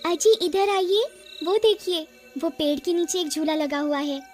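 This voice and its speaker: A woman voicing an expressive, playful young village wife with a pleading manner in an animated horror story. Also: very high voice, unhurried, faint music behind, pitched above the performer's own voice. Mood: eager pleading.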